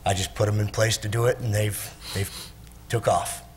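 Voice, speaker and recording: A middle-aged man speaking at a podium microphone in a council chamber, talking plainly and haltingly. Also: fairly low pitch, fairly even intonation. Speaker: city employee being honored.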